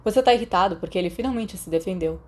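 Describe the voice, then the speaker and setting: A woman's voice: medium pitch, rapid-fire, a little mellow, natural voice. A woman with a Brazilian accent voicing an earnest, conciliatory teenage schoolboy in a storybook reading, in a plain, subdued way. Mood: placating.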